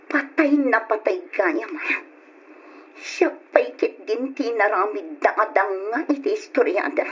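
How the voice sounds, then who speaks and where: An actress playing a betrayed, bitter and wounded young woman in an old-fashioned radio drama. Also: a little high. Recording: muffled, noisy old radio recording.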